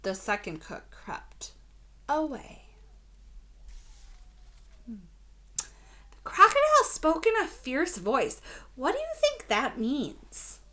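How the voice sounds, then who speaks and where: A woman teacher reading a children's story aloud, expressive and deliberate, prompting young listeners with questions. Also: fairly high pitch.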